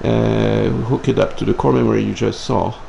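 Creaky-voiced man hosting casual tech chat. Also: lowish voice, fairly even intonation, moderate pace, warm tone. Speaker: host. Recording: background room noise.

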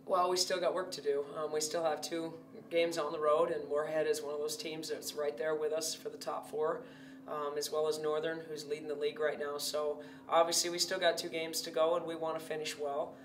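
Woman in her forties, basketball coach, even measured talk, heard from a distance in a roomy space. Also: low voice. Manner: steady, measured, many fillers. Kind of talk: post-game interview. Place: indoor press backdrop. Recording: roomy, distant, faint hum.

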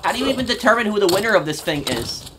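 A man giving casual, bemused gameplay commentary in a halting, off-the-cuff way as a video host. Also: high-pitched, very animated, noisy background.

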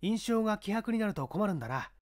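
Man playing a commanding police inspector, animated. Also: high-pitched, pitched above the performer's own voice. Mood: urgent, commanding. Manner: brisk, authoritative, giving orders. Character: shrewd, commanding middle-aged male police inspector. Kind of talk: dramatized mystery audiobook reading.

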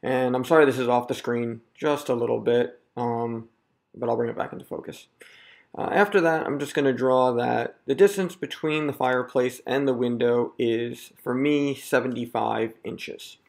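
A man in his thirties talks viewers through a do-it-yourself project in an easygoing, step-by-step way. His mid-pitched voice carries a gravelly rasp. He sounds picked up by a camera mic in a room at home. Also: moderate pace. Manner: relaxed, self-deprecating, explaining step by step. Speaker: DIY video host. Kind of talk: casual how-to narration.

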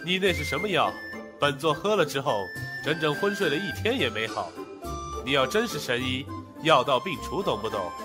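An actor playing an imperious, mocking sect master in a Chinese period audio drama. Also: male voice, on the high side, lively intonation, normal speed, over music, close to an everyday voice. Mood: scornful. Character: haughty, sardonic male sect master of ancient China.

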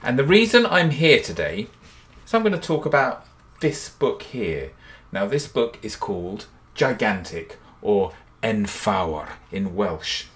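A man's voice: on the low side, very animated, a little slow, fairly bright tone. A man in his fifties, a children's author and illustrator, cheerfully talking young children through a drawing step by step from his home studio. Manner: upbeat and encouraging, talks children through each step.

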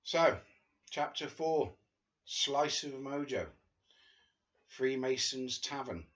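Older guy reading a book out loud on his sofa, webcam sound a bit dull, chatty and chuckly. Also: mid-range voice, expressive, takes it slowly. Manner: chatty, chuckling asides between read passages. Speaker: reader of a friend's memoir. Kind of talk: casual book reading to camera. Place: living room sofa, indoors.

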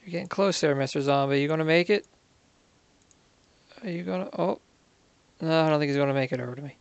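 A man's voice: fairly high pitch, fast talker, creaky, smooth, no echo. A man giving casual gameplay commentary, muttering to himself and counting under his breath. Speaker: gaming video creator.

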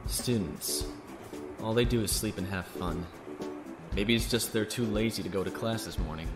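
A stern, cynical imperial officer, played by a man in a sci-fi audio drama. His lowish voice is formal and echoes over clear music. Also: normal speed, close to an everyday voice. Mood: dismissive. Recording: echoey long reverb, music behind.

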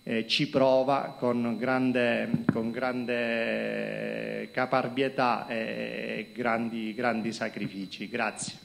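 A middle-aged man from Calabria giving a halting talk to students at a lectern, with many fillers and repeated words, into a handheld microphone in a conference hall. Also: medium pitch, little pitch movement, creaky, background noise. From Calabria, Italy. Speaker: company executive presenting to students. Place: conference hall with projector screen.

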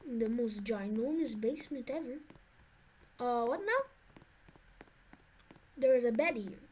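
A woman's voice: on the high side, lively intonation, measured pace, a little mellow, husky. A woman giving nervous, jumpy commentary to her viewers while playing a horror game. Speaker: gaming YouTuber.

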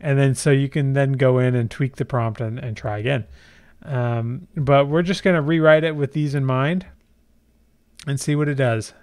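Clear-voiced man giving a tutorial. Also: mid-range voice, fairly even intonation, moderate pace, darkish tone. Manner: conversational, explanatory, thinking aloud while typing. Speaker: tutorial host. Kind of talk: casual software tutorial with screen share.

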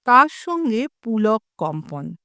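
A woman narrates a comic story in a measured, expressive way. Her voice sits a little high and sounds smooth and clear. The recording is dry and close, with no room sound. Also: no room sound.

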